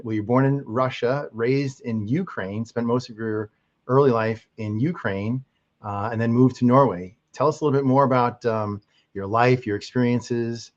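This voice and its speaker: A man hosting an interview podcast, welcoming a guest in a friendly, loose way. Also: mid-range voice. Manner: friendly, loose, with fillers and restarts. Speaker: podcast host. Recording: dull lo-fi audio.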